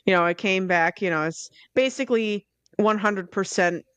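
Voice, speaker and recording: Joking American woman, wry, mid-range pitch, dry sound. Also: normal speed, a bit bright, clean tone, dry recording. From United States. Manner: wry, joking, laughs easily. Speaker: podcast co-host. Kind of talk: casual podcast chat.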